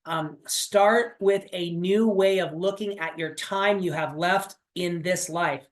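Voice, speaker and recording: A man's voice: sits high, takes it slowly. A man in his late forties leading a weekly motivational sales-coaching call, talking in a repetitive, encouraging way with frequent fillers. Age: 40s. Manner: coaching, repetitive, pep-talk with many fillers.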